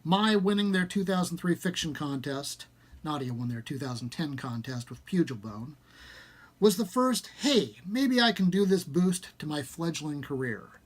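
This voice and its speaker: A man presenting a book like an enthusiast publisher, part casual pitch and part reading aloud, with expressive, emphatic delivery. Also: normal speed. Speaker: small-press horror and fantasy publisher presenting a book.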